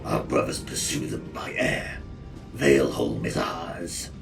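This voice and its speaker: A man playing a dutiful vampire lieutenant in a dark fantasy audio drama, formal and deferential. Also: a bass voice, slow, vocal fry, full character voice. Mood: grave, obedient. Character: very deep-voiced, dutiful male vampire lieutenant. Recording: loud music over distant-sounding voice.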